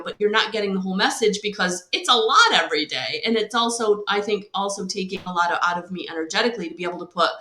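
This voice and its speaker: A congested woman with a cold chats loosely to her live-stream viewers, drifting from thought to thought with plenty of ums. Her voice sits lower than usual and at a middling pitch. The room around her rings noticeably. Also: fairly bright tone, roomy sound. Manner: rambling, many ums, greets viewers by name. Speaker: oracle card reader. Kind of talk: casual live-stream chat.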